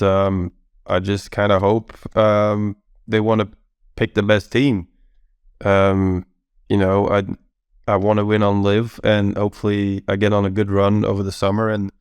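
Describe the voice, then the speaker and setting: A man in his thirties, a guest golfer, answering in a relaxed, hesitant way with many pauses. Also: fairly low pitch, clear, resonant tone, dry recording. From Belgium. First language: not English. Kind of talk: casual podcast interview.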